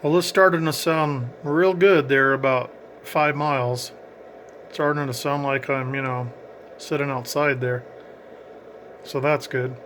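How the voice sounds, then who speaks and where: A man's voice: mid-range voice, fairly even intonation, a little slow, pauses often, fairly bright tone, a little hiss or hum. A man giving casual, explanatory commentary as he narrates a radio range test.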